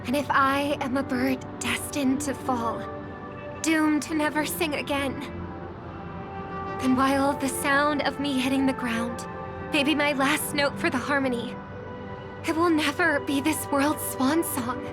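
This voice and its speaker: A devout gentle young singer. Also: female voice, sits high, steady tone, measured pace. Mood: solemn. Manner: lyrical, prayer-like delivery. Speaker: game character. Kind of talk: solemn game cutscene monologue. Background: score music.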